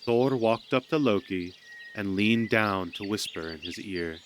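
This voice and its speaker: Male storyteller for mythic narration, fairly low voice, scripted delivery, faint outdoor ambience behind. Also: unhurried, noisy background.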